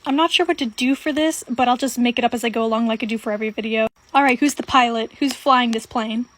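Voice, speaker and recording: A woman's voice: a little high, fast. A young woman giving casual, improvised commentary in a giggly, slangy way, as a video creator.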